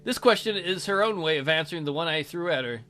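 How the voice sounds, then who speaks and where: A man's voice: on the high side, expressive, quick pace, pushed and bright, soft background music. A man reading a visual novel aloud theatrically as a let's play host, with casual, jokey asides.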